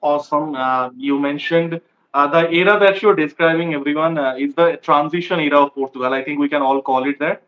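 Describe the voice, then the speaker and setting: A man's voice: a little high, fairly quick, highs cut off. A man hosting an interview channel, asking casual questions eagerly with lots of fillers. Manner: enthusiastic, filler-heavy questions.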